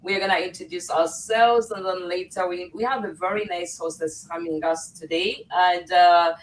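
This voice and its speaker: A woman's voice: on the low side, steady tone, normal speed. A middle-aged woman hosting a casual livestream panel, welcoming and with frequent fillers. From Uganda. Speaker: host. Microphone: video call. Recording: distant from the mic.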